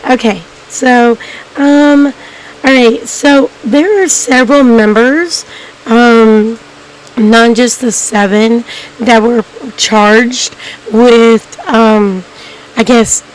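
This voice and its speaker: Hoarse woman, slow rambling talk. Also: on the high side, fairly even intonation, low-quality recording. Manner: rambling, many ums and restarts. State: hoarse, losing her voice. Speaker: YouTube documentary host. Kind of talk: casual unscripted narration to viewers.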